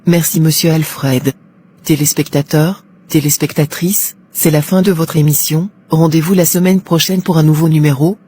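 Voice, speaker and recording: Husky, mellow woman playing a TV presenter. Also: sits low, steady tone, moderate pace. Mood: polite. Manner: formal, composed. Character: polite, probing female TV talk show presenter. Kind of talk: scripted broadcast interview in a cartoon.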